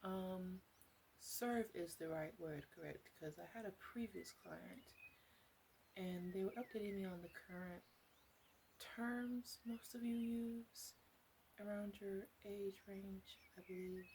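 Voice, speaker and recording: A woman playing a flirtatious, chatty witch of the woods in an ASMR roleplay, speaking in a soft, hesitant, playfully rambling way. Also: mid-range voice, takes it slowly, a little hiss or hum. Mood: rambling, coy. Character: flirtatious, teasing forest witch, wry and chatty.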